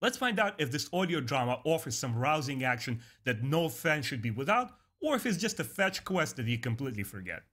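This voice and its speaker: A man's voice: mid-range voice, sing-song, brisk, hardly any pauses, a bit bright, breathy edge. A man hosting a review show, giving a casual spoken review and summary. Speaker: host of a book review show.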